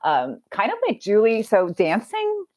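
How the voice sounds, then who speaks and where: A woman telling stories as a podcast guest, animated and quick to laugh. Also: medium pitch. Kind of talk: casual chat.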